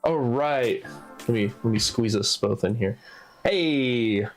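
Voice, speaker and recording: A woman with a deep, bassy voice hosting a casual livestream, talking quickly and jumping in with questions. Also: soft background music. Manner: quick, loose, interjecting. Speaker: streamer host.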